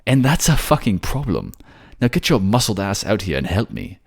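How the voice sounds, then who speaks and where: A man playing a brisk, soothing, protective mage king in a fantasy audio drama, with animated, emphatic delivery. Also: on the low side, husky, voice pushed up, heavily acted. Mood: exasperated.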